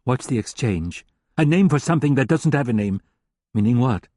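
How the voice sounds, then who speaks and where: A man voicing a persistent woman investigator who presses a source with insistent questions, husky-voiced. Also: medium pitch, expressive, moderate pace, dark tone, dry recording, studio quality, pitched above the performer's own voice, close to an everyday voice. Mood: probing.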